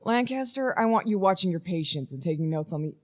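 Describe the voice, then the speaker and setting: A woman's voice: lowish voice, expressive, quick pace. A woman playing a weary, commanding research team leader in a naturalistic audio drama, matter-of-fact with some hesitation. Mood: weary, businesslike.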